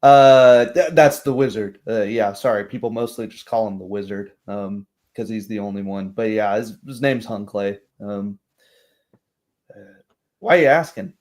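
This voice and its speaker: A man's voice: on the low side, normal speed, a little mellow, close to an everyday voice. A man voicing a puzzled, drowsy woman barkeep who hesitates and thinks aloud in a tabletop roleplay. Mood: sleepy, puzzled.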